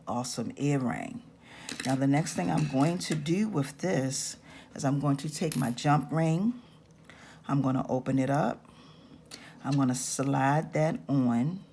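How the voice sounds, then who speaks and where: Slow-talking raspy woman teaching crafts. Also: a bass voice, dark tone, slight background noise. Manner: slow, patient step-by-step instructions. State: raspy-voiced this morning. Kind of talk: hands-on craft tutorial.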